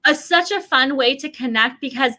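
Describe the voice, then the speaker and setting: A middle-aged woman telling casual stories in an animated, chatty way, acting out what people said. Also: high-pitched, normal speed. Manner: animated, chatty, acts out quoted remarks. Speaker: guest sharing family travel experiences. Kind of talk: casual conversational storytelling.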